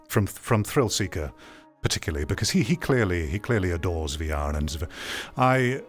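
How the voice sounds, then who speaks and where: Deep-voiced man giving opinionated commentary. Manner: opinionated, emphatic, repeats phrases for stress. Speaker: streamer hosting a VR gaming commentary show. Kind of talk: off-the-cuff critical commentary reacting to a video.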